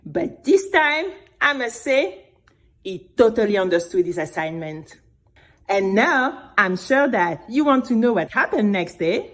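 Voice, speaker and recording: A woman playing a brave young girl who tells her own story in an expressive, exclamatory way for a children's audio drama. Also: fairly high pitch. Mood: knowing, playful. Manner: expressive, exclamatory, with drawn-out emphatic words.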